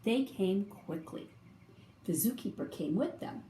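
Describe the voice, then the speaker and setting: A woman reading a children's picture book aloud, with expressive, drawn-out emphasis for young listeners. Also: medium pitch. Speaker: storybook reader. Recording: roomy echo, faint background noise.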